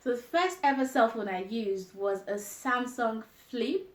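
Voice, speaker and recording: A young woman with a Nigerian accent chatting casually as a vlogger, laughing often and pausing with ums. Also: on the high side, expressive, roomy sound. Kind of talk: casual chat answering tag questions.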